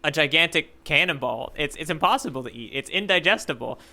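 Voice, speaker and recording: A man's voice: fairly high pitch, expressive, fairly quick, gravelly, sharp tone. A man co-hosting a podcast in casual comedic chat, often repeating words as he thinks aloud and playfully mock-solemn. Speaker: podcast co-host.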